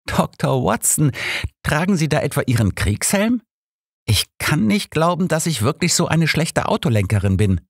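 A man voicing a lively, outspoken younger woman in an audiobook, with an animated, friendly manner. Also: fairly high pitch, normal speed, creaky, husky, no room sound, studio quality, pitched above the performer's own voice, exaggerated voice. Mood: teasing. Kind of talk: audiobook character voice.

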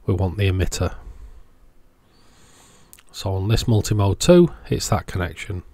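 A man's voice: low voice, very animated, unhurried, mellow, dry recording. A man narrating a hands-on tutorial step by step in a plain, methodical way. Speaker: host of electronics repair tutorials.

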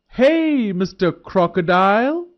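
A man's voice: on the high side, sing-song, takes it slowly, exaggerated voice. A man voicing a clever, cheerful young male deer in a children's storybook. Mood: calling out. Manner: bright, eager, raised voice. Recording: dull lo-fi recording.

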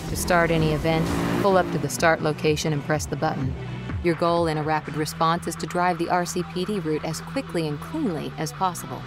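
A woman's voice: low-pitched, steady tone, a little fast, close to an everyday voice. A woman voicing a composed tutorial guide in a video game, giving scripted, instructional briefings to new players. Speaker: tutorial narrator. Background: music.

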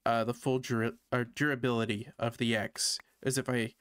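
Chatty Canadian gaming streamer. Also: male voice, mid-range voice, level tone, moderate pace, a bit bright, clean tone, dry recording. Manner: chatty, self-deprecating, thinking aloud. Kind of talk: casual gameplay commentary.

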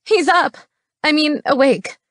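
A woman's voice: sits high, unhurried, a bit bright, no echo, studio quality, pitched above the performer's own voice. A woman voicing a feisty young heroine in an audiobook, exclaiming and insistent. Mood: panicked, flustered. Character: feisty, strong young woman, alarmed love interest. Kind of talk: paranormal romance audiobook character.

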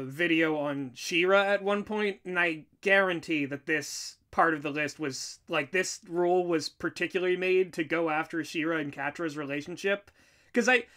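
A man with a higher-pitched, very bright and forward voice co-hosts a casual commentary. He talks informally and with animation, reasoning out loud. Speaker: co-host, audio drama writer.

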